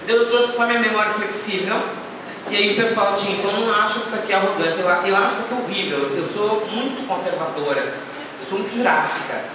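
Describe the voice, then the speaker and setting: A woman's voice: medium pitch, fast. A woman giving a chatty, anecdotal talk to an audience, with self-deprecating asides. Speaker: guest speaker. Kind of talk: informal lecture. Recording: echoey hall, speaker far from the mic, noisy.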